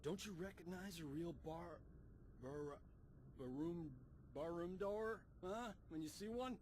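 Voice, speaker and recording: An actor playing a brash, sarcastic, opinionated doctor in a video game, grumbling and exasperated. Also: male voice, fairly high pitch, a little slow, darkish tone, slight background noise, lightly acted. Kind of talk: video game cutscene acting.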